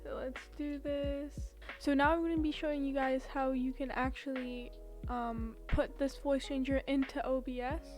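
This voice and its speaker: A young woman hosting a casual software tutorial, explaining things informally step by step. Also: high-pitched, level tone, normal speed, hardly any pauses, soft background music. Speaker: tutorial host.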